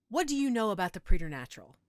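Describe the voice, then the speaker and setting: A woman playing a middle-aged motherly healer in an audio roleplay, gentle, reassuring and coaxing. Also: mid-range voice, no echo, studio quality, lightly acted. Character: calm motherly werewolf-den healer named Judith, tending a patient.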